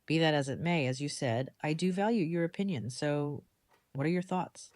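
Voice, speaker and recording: A woman playing a composed, decisive community leader in an audio drama, speaking in a measured, diplomatic way. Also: low voice, a little mellow, dry recording, close to an everyday voice. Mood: gracious, composed. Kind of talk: fan audio drama dialogue.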